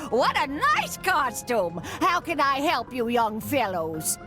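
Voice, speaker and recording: A woman's voice: high-pitched, wildly swooping pitch, slow pace, full character voice. A woman playing a kindly, doting female sea creature in a cartoon video game, sweet and fussing. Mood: delighted, doting. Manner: sweet, fussing, uses endearments. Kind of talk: animated video game voice acting. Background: game music.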